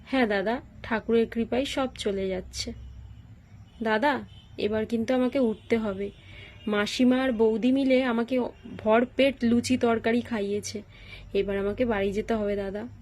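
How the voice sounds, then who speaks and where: A polite young man played by a woman speaks in a somewhat high voice. He is earnest and courteous, a visiting suitor in a simple doll tale. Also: fairly even intonation, a little slow, darkish tone, natural voice. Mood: sympathetic, courteous.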